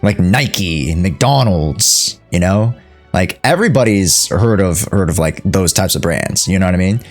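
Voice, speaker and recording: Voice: sits low, lively intonation, a little slow, soft background music. A game streamer giving casual, slangy playthrough commentary and reacting to the scenes as they play.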